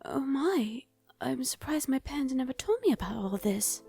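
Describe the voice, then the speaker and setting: A woman's voice: high voice, expressive, moderate pace, creaky, mellow, slightly rough, no echo, faint music behind, full character voice. A woman playing a proper, anxious young princess in a romantic ASMR roleplay, speaking in a genteel, hesitant way. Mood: shocked, uneasy.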